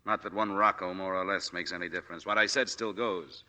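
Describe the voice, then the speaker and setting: An actor playing a stoic, quietly brave ex-soldier, understated and weary, in 1940s radio drama style. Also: male voice, deep voice, fairly even intonation, a little fast, a little hiss or hum. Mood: resigned. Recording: reverberant 1940s radio recording.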